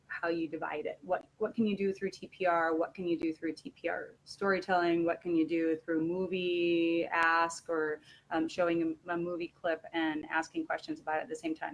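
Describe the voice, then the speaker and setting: A woman giving an informal instructional webinar talk as a teacher trainer, halting and correcting herself as she explains. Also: on the low side, level tone, a little hiss or hum, poor audio.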